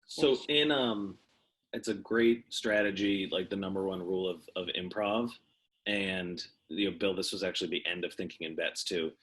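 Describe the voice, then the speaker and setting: Low-pitched man in casual discussion. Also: moderate pace, a bit bright, poor audio. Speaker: participant in a coaches' conference call.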